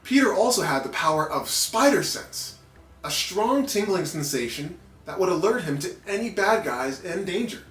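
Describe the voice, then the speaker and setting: A man reading a children's story aloud in an animated narrating style with playful asides. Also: high voice, moderate pace. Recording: roomy echo with background music.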